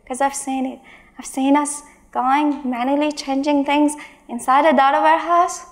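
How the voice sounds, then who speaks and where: A woman's voice: sits high, fairly even intonation, normal speed. A woman in her thirties giving a conference talk on stage, emphatic, with exasperated asides. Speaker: conference speaker. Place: large stage in a hall.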